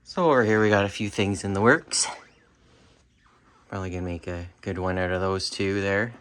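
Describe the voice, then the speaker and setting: A man in his thirties talking casually and haltingly, thinking aloud as he presents a home repair vlog on a handheld phone. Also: deep voice, a bit bright, clear, resonant tone. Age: 30s. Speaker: hobbyist repairer showing his work. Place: carpeted room at home. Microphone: handheld phone, at arm's length.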